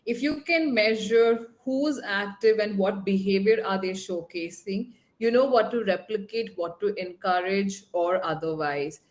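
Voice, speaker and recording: A woman, moderating a panel question session on a video call, brisk and upbeat. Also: medium pitch. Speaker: webinar moderator.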